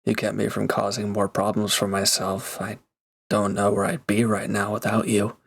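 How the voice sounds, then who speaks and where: A man's voice: on the low side, monotone, moderate pace, vocal fry, a bit bright. A man playing a reformed villain turned mercenary in an intimate roleplay audio drama, speaking casually and hesitantly with awkward, earnest gratitude. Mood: sheepish, grateful.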